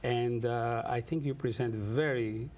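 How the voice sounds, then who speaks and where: A man hosting an interview, asking questions in a halting way, with many restarts and fillers. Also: mid-range voice, steady tone, a little mellow, a little hiss or hum. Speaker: interviewer, host.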